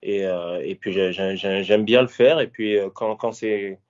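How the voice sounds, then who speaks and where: A man's voice: on the low side, level tone, measured pace, a little mellow. A man in his thirties from Paris, a former fighter and coach, answering interview questions casually with lots of fillers and restarts. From France, Paris. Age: 30s. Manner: hesitant, many fillers and restarts. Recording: dull lo-fi audio.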